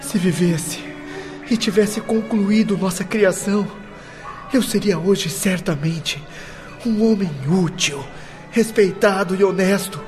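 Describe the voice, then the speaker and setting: A man's voice: high voice, darkish tone, faint music behind. A man acting in a radio drama as a grieving, reproachful grown son, lamenting in anguish. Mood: bitter reproach. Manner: anguished, lamenting, drawn-out delivery.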